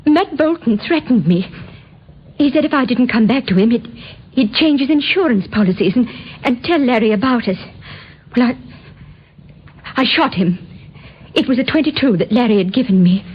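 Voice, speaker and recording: A nervous, desperate young woman in a vintage radio play. Her voice is raised in pitch yet husky, stumbling earnestly over words. The recording is old and dull. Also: lively intonation, moderate pace, long pauses. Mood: agitated confession. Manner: stammering, breathless hesitations, earnest. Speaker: actor in radio drama. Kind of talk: old time radio acting.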